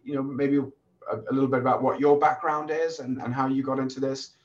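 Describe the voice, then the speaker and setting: A man, hoarse from a recent illness, hosting a podcast interview in a relaxed way with many fillers and restarts. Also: medium pitch, fairly quick, muffled recording. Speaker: podcast host and oncologist.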